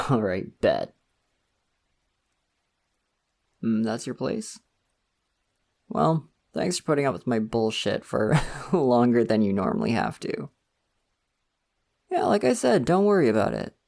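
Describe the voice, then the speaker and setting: Man acting as shy college crush, creaky, lively pitch. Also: a little slow, pauses often. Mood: playful, lighthearted. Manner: hesitant, rambling, chuckling self-consciously. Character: shy, friendly, awkwardly flirty male college crush. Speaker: ASMR roleplay performer. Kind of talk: intimate ASMR roleplay, casual conversational acting.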